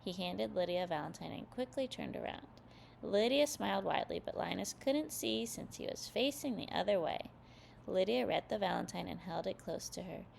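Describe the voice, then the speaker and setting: A woman narrating a children's read-aloud, expressive and carefully phrased. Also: mid-range voice, moderate pace, vocal fry, a little hiss or hum. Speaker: storyteller for young children.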